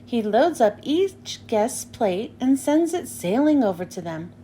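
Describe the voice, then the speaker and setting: A woman's voice: fairly high pitch, big pitch swings, unhurried, warm tone, slight background noise. A woman narrating a children's storybook as a read-aloud. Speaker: storybook reader.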